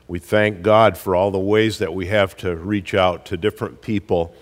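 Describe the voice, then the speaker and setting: A male pastor with a hoarse, gruff voice from a cold, welcoming a congregation in a slow, folksy way with many pauses. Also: sits low. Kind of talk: church service welcome and announcements. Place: church sanctuary. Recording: live church hall with long reverb.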